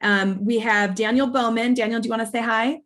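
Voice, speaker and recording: A woman's voice: fairly high pitch, steady tone, fairly quick, a bit bright. A woman professor presenting at an online awards ceremony, speaking informally in an earnest, explanatory way. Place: online video call.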